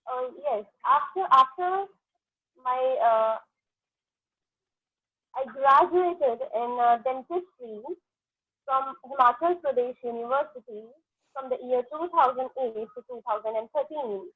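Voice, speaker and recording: A woman's voice: high-pitched, unhurried, breathy edge, no echo. Hesitant Indian woman over a phone. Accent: Indian English. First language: Punjabi. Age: 30s. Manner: hesitant, many fillers and restarts. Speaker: exam candidate, dentist. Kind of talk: mock exam answers. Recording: tinny phone-like line.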